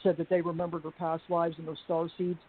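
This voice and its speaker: A woman with a deep voice giving a personal testimony on a group call, talking in a halting, earnest way with many restarts and fillers. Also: monotone. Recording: tinny call audio with faint hiss.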